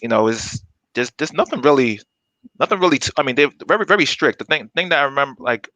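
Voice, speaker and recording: A man, a podcast guest talking in a halting way with many fillers and restarts. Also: mid-range voice, expressive, sharp tone, dry recording, rough recording. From Brooklyn, New York. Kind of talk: casual interview chat.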